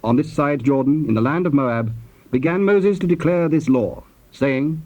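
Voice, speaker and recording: A man's voice: fairly high pitch, normal speed, a little mellow, natural voice. A man narrating scripture formally, as part of a dramatized reading recorded on old cassette tape. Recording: dull, lo-fi cassette transfer.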